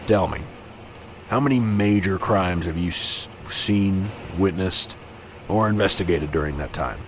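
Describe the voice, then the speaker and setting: A man's voice: deep voice, measured pace. A man playing a cynical, weary veteran police officer in an amateur radio drama, grumbling, sarcastic and dismissive. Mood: cynical, pointed. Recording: clearly noisy, vehicle-like background ambience.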